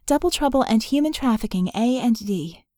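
A woman's voice: on the high side, expressive, moderate pace, dark tone, no echo, clean studio sound, natural voice. A woman voicing an anxious, urgent young female hero in an audiobook, tense and clipped.

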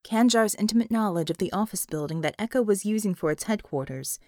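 A woman reading a novel as an audiobook narrator, in an expressive scripted storytelling style. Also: medium pitch, fairly quick, dry recording, clean studio sound.

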